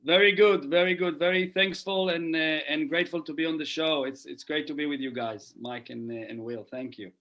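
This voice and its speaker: Guest role: middle-aged man, earnest, thoughtful repetitions, slightly high voice. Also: fairly quick, hardly any pauses, fairly bright tone. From Israel. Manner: earnest, repeats phrases while thinking. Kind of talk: casual podcast conversation.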